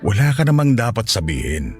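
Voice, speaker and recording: An actor playing a lustful, coaxing middle-aged Filipino widower in a Tagalog radio drama. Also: male voice, medium pitch, very animated, fairly quick, soft background music, close to an everyday voice. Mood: smitten, confessing. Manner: suggestive, coaxing, chuckling seducer.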